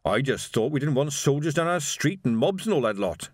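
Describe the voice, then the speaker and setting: A male reader acts a simple shoemaker in a comic audiobook. He sounds doubtful and literal-minded, rambling plainly with lively, puzzled rises and falls. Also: mid-range voice, a little fast, no echo, studio quality, pitched above the performer's own voice.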